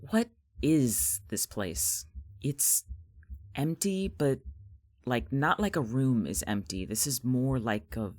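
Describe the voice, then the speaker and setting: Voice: mid-range voice, lively intonation, slow, a little mellow. An actor in an audio drama playing a battered young protagonist who is wary, sarcastic and hesitant. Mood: wary, dry. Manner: dry, hesitant, exasperated.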